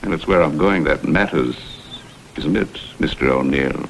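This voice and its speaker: An actor playing a smooth, insinuating blackmailer who poses as a humble go-between, with florid, theatrical phrasing, in a vintage crime drama. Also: male voice, a bass voice, moderate pace. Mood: veiled menace. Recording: vintage TV soundtrack, faint noise.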